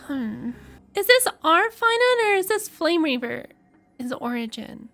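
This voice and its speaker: Female game reactor: excitable, piercingly high, lively intonation, casual. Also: a little slow. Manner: excitable, exclamatory. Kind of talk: casual live reaction commentary.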